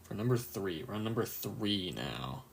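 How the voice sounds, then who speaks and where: Croaky man quizzing students live. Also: lowish voice, lively intonation, moderate pace, slight background noise, poor audio. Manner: encouraging, reads out answer options and viewer replies. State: just woke up, a bit tired. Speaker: anatomy tutor running a live quiz. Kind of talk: casual livestream quiz review.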